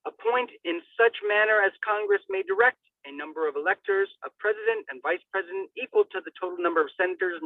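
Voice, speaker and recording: A man's voice: sits high, no room sound. A man giving a theatrical, declamatory reading of a formal document, with sudden bursts of emphasis. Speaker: reader of a legal document. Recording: thin phone-like radio sound, distant mic.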